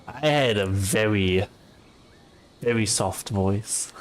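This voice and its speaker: Slow, soft-spoken male streamer. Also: lowish voice, slight background noise. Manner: soft and calm, hesitant with many fillers. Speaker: livestreamer. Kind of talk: casual chat with viewers.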